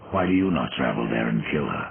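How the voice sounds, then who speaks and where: A gravelly, very deep grim horseman. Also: animated, fast, a loud crowd, heavily acted. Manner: dry, menacing questions. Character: grim male horseman, cold and terse. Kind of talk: game cutscene voice acting. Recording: tinny lo-fi game audio with music.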